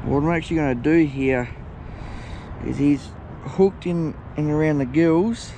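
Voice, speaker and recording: A middle-aged Australian man giving relaxed, chatty fishing commentary by a lake, filmed on a head-mounted action camera. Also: on the high side. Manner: relaxed, chatty, unhurried with fillers. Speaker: fishing vlogger. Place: outdoors by a lake shore. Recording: outdoor noise, wind and water.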